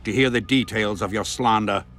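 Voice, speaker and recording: An actor playing a proud, haughty baron in a video game, speaking in a stiff, formal and imperious manner. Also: male voice, fairly low pitch, moderate pace, close to an everyday voice. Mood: indignant. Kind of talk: video game cutscene voice acting. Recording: music behind the voice.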